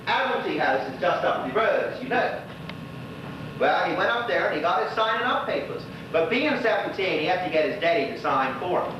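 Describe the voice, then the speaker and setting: An actor playing a lonely old widower with a Bermudian accent, telling rambling, folksy stories to a neighbour in a stage monologue. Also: male voice, high-pitched. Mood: reminiscing. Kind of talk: stage monologue, colloquial storytelling to an unseen neighbour. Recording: roomy, noisy, muffled distant stage recording.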